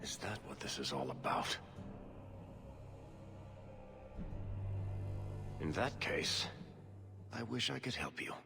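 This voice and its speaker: An actor playing a wry, worldly dwarf in a video game, telling things with a storyteller's dramatic flair. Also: male voice, extremely low pitch, big pitch swings, moderate pace, creaky, over music, heavily acted. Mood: subdued. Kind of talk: video game narration and dialogue.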